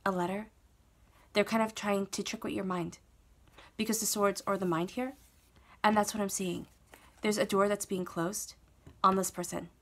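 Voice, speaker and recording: A woman with a cold, sounding stuffed up, reading tarot cards alone in a steady, reassuring way, often repeating phrases and checking in with "okay?". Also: medium pitch, normal speed. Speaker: tarot reader. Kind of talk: solo tarot reading to camera.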